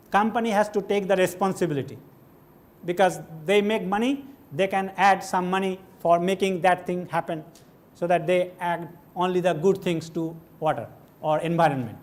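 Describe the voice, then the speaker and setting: High-voiced Bengali-accented man lecturing. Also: normal speed. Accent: Indian English, Bengali. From India (West Bengal). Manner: conversational, digressive, asks the audience questions. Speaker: guest lecturer. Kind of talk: classroom lecture. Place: large lecture hall with stage.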